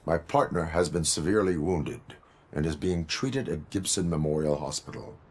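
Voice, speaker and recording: A half-machine policeman for a video game. The voice is exceptionally deep and stiff. He states things formally and without feeling, like a dutiful officer. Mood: flat, stoic. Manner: stiff, formal, measured, emotionless delivery. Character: stoic male cyborg police officer, armored and dutiful.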